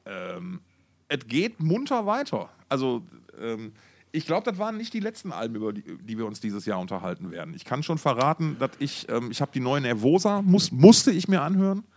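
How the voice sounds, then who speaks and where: A man talks in a very lively, swooping voice, recorded close and dry. He co-hosts a casual music podcast and speaks colloquially, with plenty of slang and interjections. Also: medium pitch, fairly quick, no room sound. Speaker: podcast co-host.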